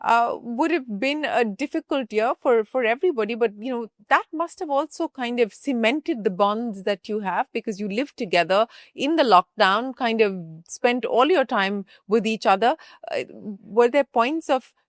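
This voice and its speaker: A woman's voice: fairly high pitch, normal speed, few pauses, dry recording, clean studio sound. A woman with an Indian accent hosting a conversational business interview. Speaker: interviewer and host.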